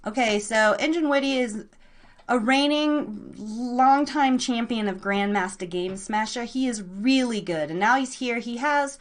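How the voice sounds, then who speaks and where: An excitable, bubbly woman chatting casually and slangily as she hosts a live internet radio show. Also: fairly high pitch, measured pace. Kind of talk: casual rambling livestream chat with an online audience.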